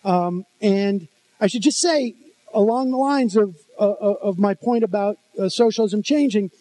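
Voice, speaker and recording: A man moderates a panel in a big convention hall, his voice sitting fairly high. He halts often and fills gaps with "uh" and "um" while he lays out the ground rules. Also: dark tone. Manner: halting, many fillers. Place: large convention hall stage.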